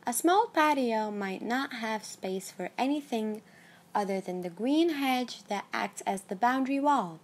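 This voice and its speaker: A woman giving scripted narration of a numbered list in a measured, even read-aloud delivery. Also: on the high side.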